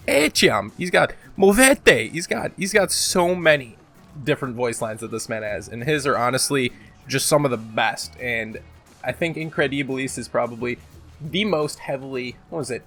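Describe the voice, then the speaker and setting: A man's voice: mid-range voice, animated, normal speed. A man with a sore throat giving casual, rambling, unscripted countdown commentary with some swearing and slang. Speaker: video host, gaming commentator. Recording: loud music behind the voice. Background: music.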